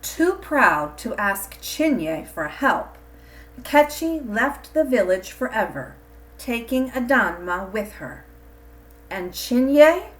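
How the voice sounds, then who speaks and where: A woman's voice: a little high, sing-song, slow pace. A woman telling stories and talking to children in a simple, encouraging, teacherly way. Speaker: children's story reader and church teacher. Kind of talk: read-aloud storytelling and friendly talk to children. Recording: roomy, distant mic with faint noise.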